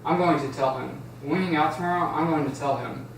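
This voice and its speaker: A shy, nervous teenage boy who longs for a friend , read by a man at an amateur table read. The voice is slightly high. It comes from some distance in a very echoey room. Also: fast talker, gravelly. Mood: earnest. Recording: echoey room, distant mic, faint noise.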